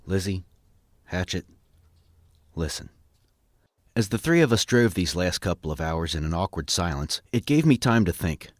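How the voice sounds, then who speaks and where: An earnest, principled man, played by a male actor in an audio drama. His voice is fairly deep, with a dry, close sound. He reasons patiently in measured, formal sentences. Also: sing-song, no room sound. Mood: earnest, subdued.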